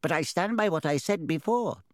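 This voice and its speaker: A man voicing a shrewd, knowing magical talking hat in an audiobook, with a deliberate delivery. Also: a little high, animated, no echo, studio quality, voice pushed up, lightly acted. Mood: knowing, sly. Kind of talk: audiobook character voice.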